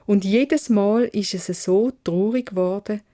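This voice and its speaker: A woman with a Swiss German accent narrating a children's fairy tale in a measured, expressive way. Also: medium pitch. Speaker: storyteller.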